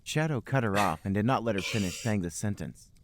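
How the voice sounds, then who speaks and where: A man's voice: fairly low pitch, lively intonation, brisk, noisy background. A man narrating fan fiction as a podcast host, in a mock-serious comic reading style.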